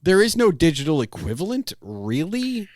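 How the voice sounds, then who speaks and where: A man hosting a podcast, chatting casually in an animated, rambling storytelling way. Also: fairly high pitch, moderate pace, dry recording, clean studio sound. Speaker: podcast host interviewing a guest. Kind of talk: casual conversational chat.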